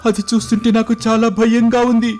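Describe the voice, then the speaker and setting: A man voicing an eager, impatient young prince, earnest and excitable, in a storybook tale. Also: very high-pitched, brisk, faint music behind, voice pushed up. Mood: frightened, amazed. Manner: earnest, excitable, respectful to father. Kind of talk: scripted moral story dialogue.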